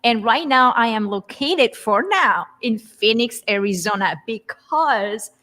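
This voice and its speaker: A woman's voice: a little high, animated, unhurried, pushed and bright, in a large hall. A woman from southern France, effusive and laughing as a panel guest. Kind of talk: casual chat.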